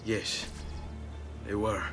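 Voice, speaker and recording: An actor playing a guarded, protective young nobleman in a video game, with an Italian accent and a terse, earnest delivery. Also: very slow. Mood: subdued, urgent. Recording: music behind the voice.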